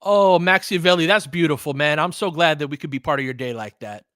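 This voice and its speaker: A man's voice: fairly high pitch, brisk, fairly bright tone, no room sound, clean studio sound. A man doing casual live basketball commentary as a fan host, slangy and sweary, talking to the players.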